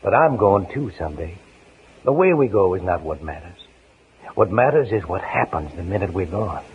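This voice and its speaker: A man's voice: deep voice, lively intonation, moderate pace. An actor playing an earnest, fatherly ex-convict evangelist in a persuasive, plain-spoken 1950s radio style. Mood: earnest, urging. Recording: noisy, tinny 1950s radio broadcast.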